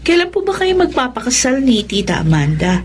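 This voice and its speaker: An actress playing a sweet, respectful, eager young daughter in a radio drama. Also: female voice, fairly high pitch, sing-song, a little fast, noisy background.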